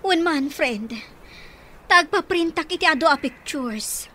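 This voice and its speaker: High-pitched anxious woman. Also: animated, a little slow, pushed and bright, a little hiss or hum, heavily acted. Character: anxious adult woman searching for missing mother. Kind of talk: Ilocano radio drama acting.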